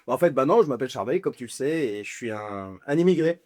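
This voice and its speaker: A man's voice: mid-range voice, animated, quick pace, darkish tone. A Lebanon-born man in his forties talks as a guest in a loose, slangy, outspoken way. He is full of fillers and small laughs. The recording is dry, close and free of room echo. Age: 40s. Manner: blunt, slangy, frequent fillers and chuckles. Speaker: podcast guest. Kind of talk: casual storytelling interview.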